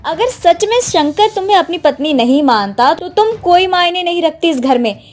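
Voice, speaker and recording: A woman's voice: very high-pitched, animated, normal speed. A woman playing a haughty, demanding young city wife in a cartoon moral story, with an insistent way of talking. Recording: faint music behind the voice.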